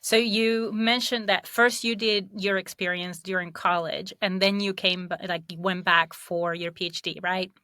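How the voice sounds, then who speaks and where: A woman's voice: mid-range voice, fairly even intonation, moderate pace, few pauses, sharp tone, no room sound, clean studio sound. A woman hosting a podcast interview, upbeat and asking curious questions. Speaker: podcast host and career coach.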